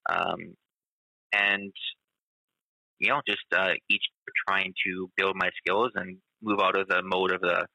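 A male teacher talking in a casual conversation, halting and full of fillers. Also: low-pitched, flat delivery, vocal fry, bright, forceful tone, no room sound, rough recording. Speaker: teacher and guest.

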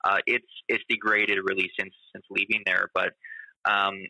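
A male teacher talking in a casual conversation, halting and full of fillers. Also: lowish voice, little pitch movement, gravelly, pushed and bright, dry recording, rough recording. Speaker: teacher and guest.

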